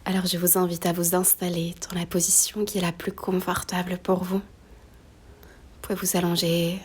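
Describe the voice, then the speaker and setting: A woman guiding a slow meditation in a soft, breathy near-whisper, gently giving unhurried instructions with long pauses. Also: fairly low pitch, little pitch movement. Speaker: yoga nidra guide.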